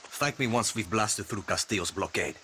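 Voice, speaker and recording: An actor playing a tough, wry young guerrilla fighter, a reluctant hero with a dry, casual manner in a video game. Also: male voice, lowish voice, moderate pace, slight background noise. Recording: very loud game music behind.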